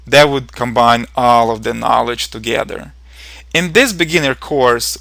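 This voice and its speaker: Young Ukrainian-accented male tutor, crisp forward voice, dry close mic, measured and earnest with ums. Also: mid-range voice, pushed and bright, no echo. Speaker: programming tutor. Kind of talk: scripted tutorial narration.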